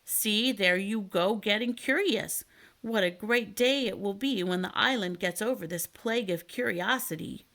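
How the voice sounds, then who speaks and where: A woman's voice: mid-range voice, moderate pace, fairly bright tone, close to an everyday voice. A woman reading a children's storybook as a chatty, worried little canary, polite and talkative. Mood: concerned. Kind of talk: children's storybook read aloud.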